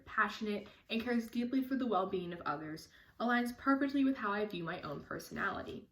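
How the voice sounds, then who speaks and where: Earnest teenage girl, echoey room. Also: medium pitch. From United States. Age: teens. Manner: earnest, steady reading. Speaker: scholarship applicant. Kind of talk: prepared personal statement.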